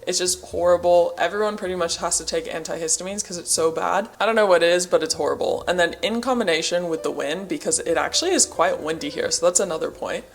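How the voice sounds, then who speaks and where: A woman's voice: on the low side, fast talker, hardly any pauses. A woman with an American accent, talking casually to camera in a chatty vlog style, with small laughs between points. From Australia, raised in America. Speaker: vlogger.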